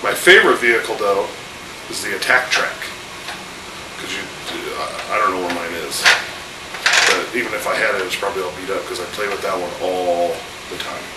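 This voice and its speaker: A man's voice: low-pitched, fairly quick. Man with a cold, rambling casually. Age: 30s. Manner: chatty, rambling with fillers. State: has a cold, voice a little off. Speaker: host. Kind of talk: casual home video chat. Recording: echoey room, distant mic, air conditioner hum.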